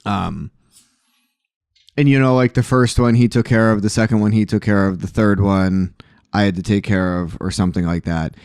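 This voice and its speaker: A man with a very deep voice hosts a podcast, speaking candidly and with a dry sense of humor. His tone is dark and mellow, and the sound is dry and close. He leans on "uh" fillers as he speaks. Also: steady tone, normal speed, clean tone, no echo. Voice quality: low voice. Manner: candid, joking, frequent "uh" fillers. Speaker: podcast host, sober living owner. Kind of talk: casual chat. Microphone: poor microphone, hard to hear.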